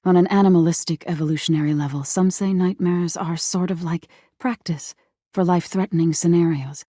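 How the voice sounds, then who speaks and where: Creepy female nightmare spirit for a game, deep n husky, slow deliberate philosophical talk, kinda whispery. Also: warm tone, no echo, clean studio sound. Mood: musing, softly menacing. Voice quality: husky, breathy, often dropping to a whisper. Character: female nightmare spirit, eerie, softly menacing, thoughtful. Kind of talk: scripted game character acting, intimate second-person storytelling.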